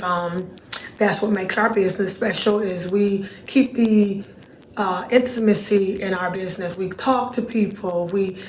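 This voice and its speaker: A middle-aged woman with a raspy voice, hosting a casual vlog. She talks in a chatty way, repeats words for emphasis and laughs often. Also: medium pitch. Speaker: channel host, surplus funds recovery business owner. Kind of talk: casual talking-to-camera vlog. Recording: roomy echo with faint room noise.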